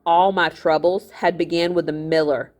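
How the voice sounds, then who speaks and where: A woman reading a children's novel aloud as a storyteller, with expressive narration. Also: fairly low pitch, moderate pace.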